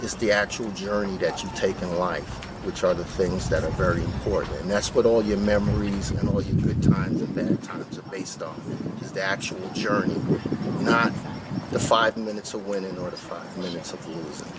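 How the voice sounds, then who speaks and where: A man's voice: deep voice, steady tone, moderate pace. A man with a New York accent, a youth basketball coach giving an earnest, motivational interview. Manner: earnest, motivational, explains patiently. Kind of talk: on-location interview. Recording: distant mic, loud street noise.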